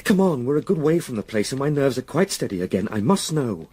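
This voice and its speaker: An actor playing an earnest, shaken young gentleman in a radio drama, questioning with urgent intensity. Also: medium pitch, sing-song, brisk, slightly rough. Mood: anxious, insistent.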